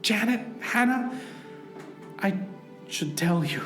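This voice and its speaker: A man acts out an earnest hero telling his own adventure in a parody radio play. His delivery is overwrought and theatrical, swinging widely in pitch. The sound is echoey, with music playing clearly underneath. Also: expressive, takes it slowly, a bit bright, exaggerated voice. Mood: anguished. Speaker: narrator and lead actor in a radio play. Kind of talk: melodramatic scripted parody radio drama. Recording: roomy echo, music underneath.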